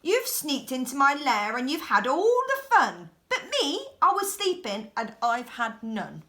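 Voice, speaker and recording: A woman voicing a big, grumpy brown bear in a children's storybook, loud and aggrieved. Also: sits high, big pitch swings, a little slow, heavily acted.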